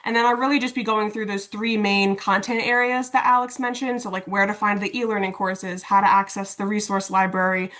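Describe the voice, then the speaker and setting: Casual woman leading a software walkthrough. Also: mid-range voice, little pitch movement, brisk, hardly any pauses. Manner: casual, conversational explaining. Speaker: instructional designer and LMS administrator presenting. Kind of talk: informal webinar software walkthrough. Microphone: video call.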